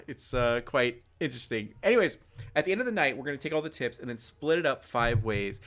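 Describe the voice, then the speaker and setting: A man's voice: mid-range voice, a bit bright. A man hosting a live-streamed tabletop game as game master, chatty and full of fillers, thanking donors.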